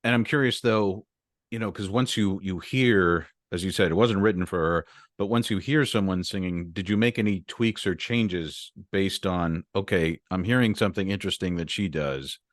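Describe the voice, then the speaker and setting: Man, interview host, low voice, dry close sound, curious, affirming. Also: normal speed, no echo. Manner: curious, affirming, thoughtful questions. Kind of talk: conversational interview questions.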